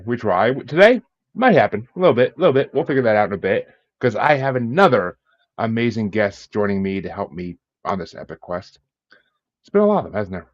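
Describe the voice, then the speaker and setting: A man hosting a podcast with casual, jokey and self-deprecating interview chat. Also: fairly low pitch. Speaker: podcast host. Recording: dull lo-fi sound, roomy echo.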